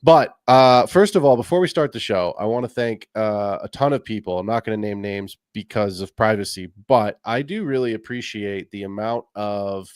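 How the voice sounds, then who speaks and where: Chatty low-voiced podcast host, dry sound. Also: male voice, normal speed, hardly any pauses, darkish tone, clean tone, no echo. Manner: chatty, rambling, friendly. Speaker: fantasy football podcast host. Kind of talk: casual podcast talk.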